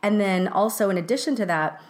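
A woman's voice: medium pitch, fairly quick. A middle-aged woman co-hosting a podcast, talking casually with many restarts and fillers. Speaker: podcast co-host.

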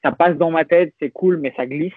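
Young man, slightly high voice, reflective and halting, thin phone-like sound. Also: no echo. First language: French. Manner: reflective, hesitant with many restarts and fillers. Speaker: podcast guest. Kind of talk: casual conversational interview. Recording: dry, phone-like narrow sound.

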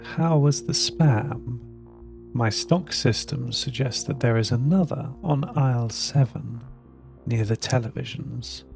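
A sweet, doting old woman's voice put on by a male narrator crackles out of a broken speaker, thin and slow. It drags out each kindly word with a gravelly edge. Soft music plays faintly underneath. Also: medium pitch. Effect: crackly poor connection.

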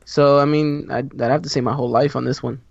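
A man's voice: medium pitch, steady tone, quick pace, vocal fry, dull audio. A twenty-two-year-old man, a podcast guest, talking casually and hesitantly over a call. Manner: hesitant, starts with "um".

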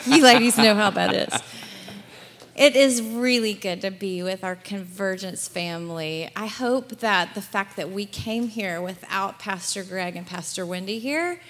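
A woman's voice: mid-range voice, a bit bright. Southern lady talking at church, upbeat, laughs a lot, big echoey hall. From Alabama. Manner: chatty, upbeat, laughs often. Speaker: guest speaker. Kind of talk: church address. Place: church sanctuary. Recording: long church hall reverb.

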